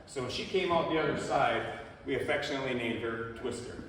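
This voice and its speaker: A man's voice: mid-range voice, a little fast, background noise. A man casually introducing fellow bikers at a school presentation, telling folksy stories. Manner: folksy, storytelling. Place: school hall. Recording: echoey hall from far off.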